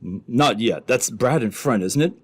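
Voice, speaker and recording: A man's voice: medium pitch, lively intonation, moderate pace, natural voice. A man playing an uneasy, hesitant criminal henchman in an old-time radio adventure. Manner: hesitant, worried. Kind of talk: re-created old-time radio drama acting.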